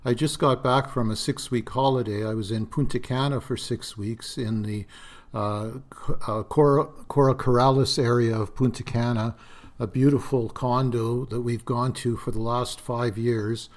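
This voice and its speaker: A man in his sixties hosting a solo podcast, talking in a rambling, reflective way with frequent fillers; his voice is slightly raspy and dry. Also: mid-range voice, fairly even intonation, moderate pace. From Canada. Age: 60s. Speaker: podcast host.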